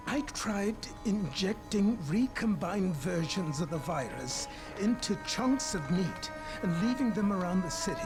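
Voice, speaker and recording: High-voiced, distracted scientist-doctor. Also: male voice. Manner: distracted, halting, precise explanations. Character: absent-minded, obsessive middle-aged male scientist-doctor. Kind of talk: video game cutscene acting.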